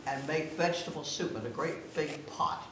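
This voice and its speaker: A woman's voice: low voice, moderate pace. A woman in her nineties with a Southern American accent, telling old stories casually to family. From South Carolina, United States. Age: 90s. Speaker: guest of honour reminiscing. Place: room at a family gathering. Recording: echoey room, distant mic, clear noise.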